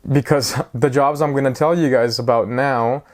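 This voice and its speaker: A man's voice: mid-range voice, fairly even intonation, normal speed. A man in his twenties giving a casual, rambling talk to the camera, chatty and self-mocking. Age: 20s. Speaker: vlogger.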